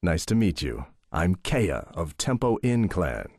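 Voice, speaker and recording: An actor playing a calm, pompous villain who poses as a self-help coach in a game dub, measured and condescending in manner. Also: male voice, low-pitched, dry recording, studio quality, exaggerated voice. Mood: smooth menace. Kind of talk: scripted anime game dub acting. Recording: loud music behind the voice.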